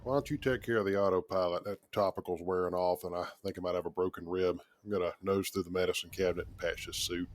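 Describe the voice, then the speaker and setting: A man's voice: deep voice, steady tone, quick pace, few pauses, lightly acted. A man voicing a confident, wry space adventurer with a tactical bent in a dramatic game performance. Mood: weary. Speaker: gamer doing character voice-over. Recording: loud game ambience and engine noise.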